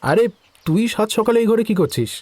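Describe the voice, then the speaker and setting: A casual male estate employee, played by an actor in an audio drama, chatty yet a little evasive. His voice is somewhat high for a man and recorded dry. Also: sing-song, fairly quick, darkish tone, no echo. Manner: familiar, chatty, hesitant. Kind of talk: Bengali audio story acting.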